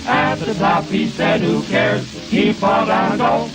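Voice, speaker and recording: A man with a high voice performs a comic novelty song on 1920s radio. He tells it slowly in a singsong storytelling style, with loud band music. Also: steady tone, rough recording. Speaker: dance band vocalist.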